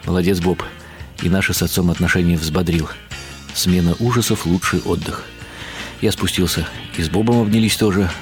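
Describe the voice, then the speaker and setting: An actor playing a world-weary writer who narrates a literary radio drama in a wry, ironic, musing way. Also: male voice, low-pitched, fairly even intonation, a little fast, soft background music, natural voice. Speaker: narrator and lead character.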